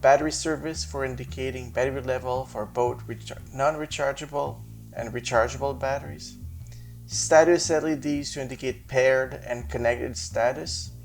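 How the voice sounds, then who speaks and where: Gravelly-voiced man presenting a tech demo. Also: medium pitch, fairly even intonation, moderate pace, soft background music. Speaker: maker presenting his hardware and firmware project. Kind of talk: explanatory tech demo narration.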